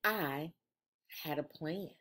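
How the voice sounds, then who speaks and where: A middle-aged woman reading a children's storybook aloud like a teacher, with dramatic, drawn-out emphasis. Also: low voice, fairly bright tone. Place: room at home. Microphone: video call, computer at desk distance.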